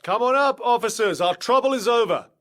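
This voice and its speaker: An actor playing an assured gentleman detective in a period audio drama, speaking with precise, dramatic authority. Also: very high voice, fairly quick.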